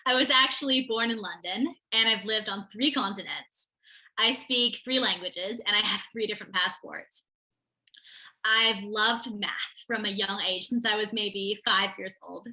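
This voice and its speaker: Bright-voiced eager teenage girl. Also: mid-range voice, a little slow, poor audio. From America, Connecticut. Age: teens. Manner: eager, articulate, laughs while telling stories. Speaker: interview guest, student. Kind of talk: enthusiastic interview answers.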